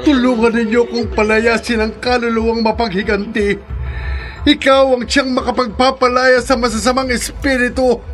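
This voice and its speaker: An actor playing a devout priest in a horror radio drama, pleading and commanding in solemn, fervent prayer. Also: male voice, extremely high pitch, little pitch movement, normal speed, fairly bright tone, soft background music.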